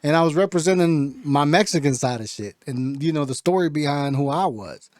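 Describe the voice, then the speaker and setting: A man's voice: a little high, animated, fairly quick, darkish tone, no room sound, studio quality. A man chatting casually and slangily as a rapper and co-host in an unscripted podcast conversation.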